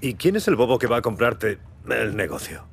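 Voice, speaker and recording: Very expressive bemused husband. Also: male voice, medium pitch, normal speed, vocal fry, faint music behind. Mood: friendly. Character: bemused, good-natured husband, a working man. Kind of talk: Spanish dub of cinematic game drama.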